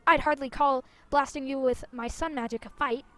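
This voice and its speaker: A woman's voice: high voice, fairly even intonation, moderate pace, pushed and bright. A woman reading out the lines of a bold, hot-tempered young female rider in a fantasy game. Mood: defiant.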